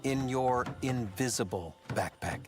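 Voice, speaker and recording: A man playing a scholarly, dramatic advisor in an animated adventure game, with a pompous manner. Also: medium pitch, unhurried, close to an everyday voice. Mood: dry, matter-of-fact. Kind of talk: animated game cutscene acting. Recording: faint music behind.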